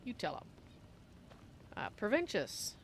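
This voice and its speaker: A woman's voice: mid-range voice, wildly swooping pitch, a little slow, slight background noise. A woman roleplaying a polite, slightly befuddled female cat-folk adventurer in a video game, speaking of herself in third person. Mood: musing, polite.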